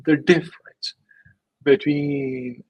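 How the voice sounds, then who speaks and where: Brief: male guest, gravelly and husky tone, emphatic and filler-heavy, dry close recording. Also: extremely high pitch, big pitch swings, takes it slowly, dry recording, lo-fi sound. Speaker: guest, crypto scientist. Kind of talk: casual interview talk.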